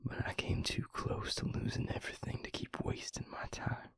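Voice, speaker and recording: A man playing a tender, earnest vampire lover in an intimate romantic audio roleplay, speaking haltingly and plainly in a breathy, gravelly near-whisper. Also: a bit bright, no room sound, lightly acted. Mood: earnest, longing. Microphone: close microphone in a dry room.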